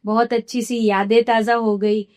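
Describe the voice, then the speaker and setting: A woman, a podcast guest with a slightly hoarse throat, speaking in an earnest, measured way with small fillers. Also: a little high, level tone, darkish tone. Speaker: guest, founder of a women's platform. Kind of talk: podcast interview conversation. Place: podcast studio.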